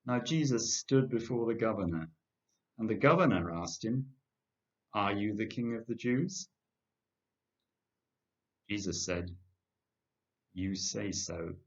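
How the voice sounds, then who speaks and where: Low-voiced older man reading scripture. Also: animated, takes it slowly, long pauses. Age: older, 60s or above. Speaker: church congregation member reading scripture. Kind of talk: scripture reading, narration of a Gospel. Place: indoor home kitchen. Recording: dull lo-fi home webcam sound.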